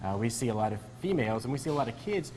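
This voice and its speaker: A man's voice: mid-range voice, expressive, very fast, a little mellow, soft background music, low-quality recording. A man speaking as a doctor being interviewed, explaining things in a conversational way.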